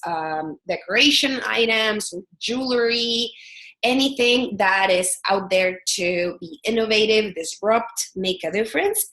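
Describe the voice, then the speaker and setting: A woman's voice: mid-range voice, a bit bright, reverberant, rough recording. A woman in her thirties from El Salvador with a Salvadoran Spanish accent, giving an earnest webinar presentation as a product strategist, with frequent fillers and restarts.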